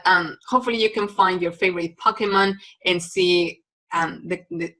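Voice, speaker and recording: A woman's voice: fairly low pitch, creaky, sharp tone, rough recording. A woman from Mexico with a Mexican Spanish accent and Spanish as her first language, giving a recorded biology lecture with frequent ums and restarts.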